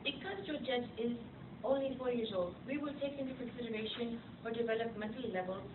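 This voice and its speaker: A woman playing a patient, reassuring nurse in an educational puppet show, speaking in a formal, deliberate, instructive way. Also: fairly high pitch, natural voice. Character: patient, reassuring nurse explaining illness to a family. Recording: tinny, roomy, distant, noisy amateur recording.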